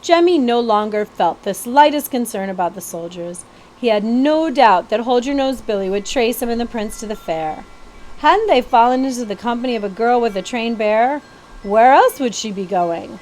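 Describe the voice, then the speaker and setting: A woman's voice: fairly high pitch, animated, normal speed, darkish tone, slight background noise, low-quality recording. A woman reading a children's novel aloud as a storybook narrator.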